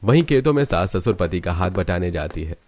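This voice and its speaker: A man's voice: low-pitched, animated, brisk, a little mellow. A man reading scripted story narration for a cartoon.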